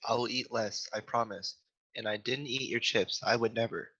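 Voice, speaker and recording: A man's voice: medium pitch, steady tone, moderate pace, sharp tone, slight background noise, lightly acted. A man student voicing a pleading young storybook pig at a table read, through a lo-fi call microphone. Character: pleading young male pig, devoted to mother.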